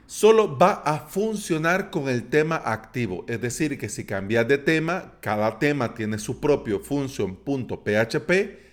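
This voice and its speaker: A man's voice: mid-range voice, sing-song, a little slow. A man hosting a solo tutorial podcast, explaining terms in a chatty, playful way with jokey asides. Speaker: podcast host.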